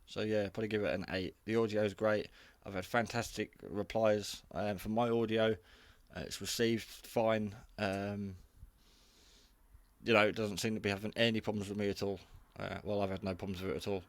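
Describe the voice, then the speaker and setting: Low-pitched man, rambling review. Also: little pitch movement, normal speed, no echo. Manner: rambling, matter-of-fact, modest. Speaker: hobby radio reviewer. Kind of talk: casual unscripted product review.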